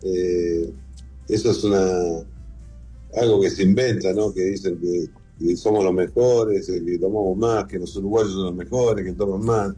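Dark, husky-voiced Argentine man. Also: low voice, fairly even intonation, normal speed, faint music behind, poor audio. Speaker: interview guest, whisky museum founder and collector. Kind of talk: conversational interview answers.

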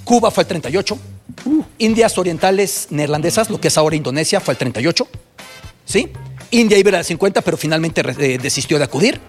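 A man's voice: a little high, animated, quick pace, faint music behind. A man with a Mexican accent talking football on a panel, explaining and listing his points emphatically. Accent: Mexican Spanish. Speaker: football commentator on a panel show. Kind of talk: animated sports panel talk.

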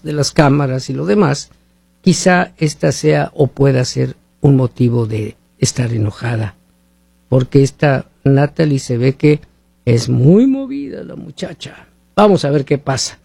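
A man's voice: on the high side, vocal fry, warm tone, breathy edge, no room sound. A man from Mexico hosting talk radio, rambling through commentary and reading sponsor ads, often asking listeners rhetorical questions. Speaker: radio host. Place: living in the United States.

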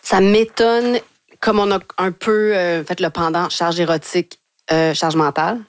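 A woman's voice: fairly low pitch, steady tone, measured pace. A woman with a Quebec French accent hosting a live advice radio show, speaking conversationally and reading out listener messages. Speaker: radio host.

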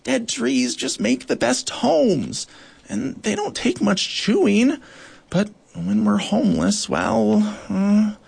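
A man doing a tiny, squeaky bark beetle. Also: big pitch swings, creaky, a little mellow, slightly rough, heavily acted. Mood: pleading. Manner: timid, halting, pleading. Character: small shy desperate bark beetle.

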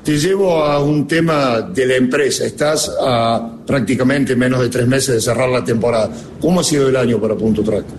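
A man's voice: medium pitch, normal speed, hardly any pauses, a little hiss or hum. Friendly Argentine radio interviewer man. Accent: Argentine Spanish. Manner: friendly, conversational questioning.

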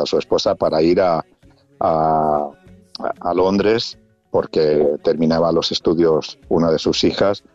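A low-voiced man from Spain chats through his report, often restarting and filling pauses. The sound is muffled and lo-fi, like radio audio. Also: a little mellow, dry recording. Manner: conversational, many restarts and fillers. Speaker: radio correspondent.

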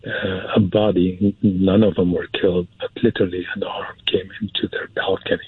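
Male guest, husky voice, dry phone-like narrow sound. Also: sits low, level tone, moderate pace, dry recording. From Syria. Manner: hesitant, repeats words for emphasis. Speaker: interview guest, film producer. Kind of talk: casual interview talk.

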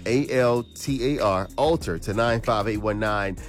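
A man's voice: lowish voice, measured pace, soft background music. A congested man hosting morning radio, talking in hype, repetitive call-and-response banter with his co-host.

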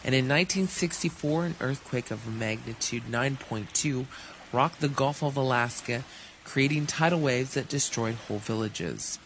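A man narrates a documentary from a script with steady, measured delivery. His pitch sits in a middle range. A faint hiss runs under the voice. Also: rough recording.

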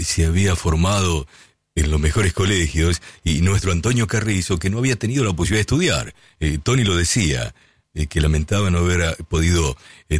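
Male radio host, very low husky voice, lots of ums, dry studio sound. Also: dry recording. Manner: warm tribute, many filler sounds. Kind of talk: radio presentation.

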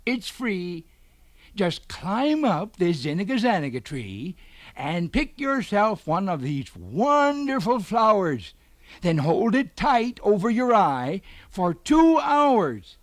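A man voicing a small, sly, quick-witted rabbit in a children's storybook, with a theatrical, persuasive delivery. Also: sits high, sing-song, no echo, pitched above the performer's own voice, exaggerated voice. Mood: sly, reassuring. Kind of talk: children's storybook character reading.

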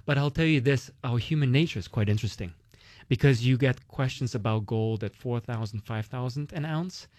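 A man's voice: medium pitch, a little fast, a little mellow, dry recording. Man, Romanian accent, chatty explainer, lots of fillers, dry close sound. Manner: uses many "like" and "you know" fillers, explains with analogies. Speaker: investment guest. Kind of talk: casual explanatory conversation.

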